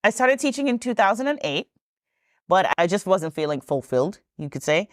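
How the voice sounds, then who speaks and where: A woman's voice: medium pitch, sing-song, moderate pace, no echo, studio quality. A woman, a podcast guest telling her story in a chatty, rambling way with many ums and self-corrections. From Trinidad and Tobago. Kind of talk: casual storytelling interview.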